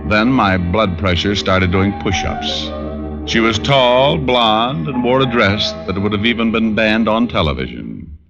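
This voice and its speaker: An actor playing a self-assured, wisecracking male private detective in an old-time radio drama, delivering wry, deadpan quips. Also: fairly low pitch, unhurried, vocal fry. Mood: wry narrating. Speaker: lead actor and narrator. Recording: muffled vintage radio recording with music.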